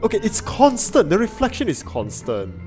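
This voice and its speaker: A man's voice: high-pitched, huge pitch swings, moderate pace, a little mellow, soft background music. A man streaming a casual reaction, thinking aloud with lots of fillers and restarts. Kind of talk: casual chat.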